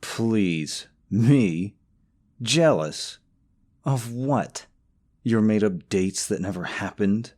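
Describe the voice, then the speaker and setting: Smug, low-voiced possessive boyfriend played by a man. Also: lively intonation, very slow, darkish tone. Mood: smug, mocking. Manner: smug, sarcastic, cloyingly sweet to listener. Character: smug possessive young boyfriend stalker, sneering at his rival. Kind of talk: scripted romantic audio roleplay.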